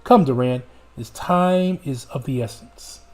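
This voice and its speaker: A man's voice: medium pitch, measured pace, natural voice. A man reading aloud as a principled female reporter from a video game, in a formal, earnest way. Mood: resolute.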